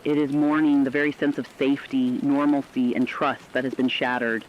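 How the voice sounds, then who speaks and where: A man's voice: mid-range voice, level tone, moderate pace, highs cut off, a little hiss or hum. A man narrating a scripted emergency radio broadcast as a newscaster, with grave, solemn delivery. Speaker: radio newscaster in a horror story.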